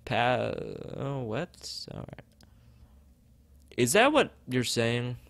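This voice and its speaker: A man's voice: mid-range voice, sing-song, drawn-out words, fairly bright tone, no room sound. A man streaming as he reads a visual novel aloud and chats with viewers, often stumbling and rereading phrases. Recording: background music behind voice.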